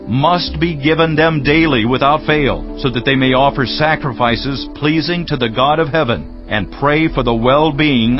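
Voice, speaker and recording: An actor playing a stern, commanding Persian king issuing a royal decree in formal, measured, authoritative speech. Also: mid-range voice, close to an everyday voice. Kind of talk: dramatized scripture reading. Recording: dull lo-fi audio under clear music. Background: music.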